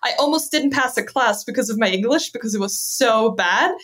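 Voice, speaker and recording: A young woman from southern Germany with a German accent, chatting as a podcast guest, lively and quick to laugh. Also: fairly high pitch. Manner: lively, laughs often, says "right?" a lot. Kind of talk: casual interview chat.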